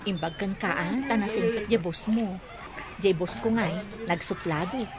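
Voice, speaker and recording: A woman's voice: medium pitch, measured pace, lightly acted. An actress playing a hardworking young woman who talks back earnestly.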